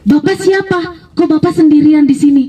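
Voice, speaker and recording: A polite young man on a journey in a storybook tale, voiced by a woman storyteller. He is curious and a little hesitant, with a respectful way of asking. The tone is mellow and heard from a slight distance. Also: sits high, normal speed, voice pushed up, natural voice. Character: curious, polite young male traveller on horseback. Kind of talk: live children's storytelling.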